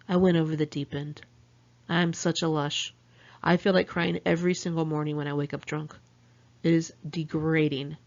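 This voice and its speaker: A woman's voice: low voice, a little mellow. A woman reading her own first-person memoir aloud as an audiobook, in a candid, conversational, confessional manner. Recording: dull lo-fi home recording.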